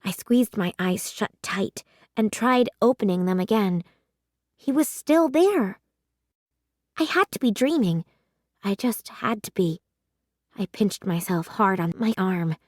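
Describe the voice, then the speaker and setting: A woman narrating a children's story aloud in a deliberate, expressive way. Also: medium pitch, no echo, studio quality.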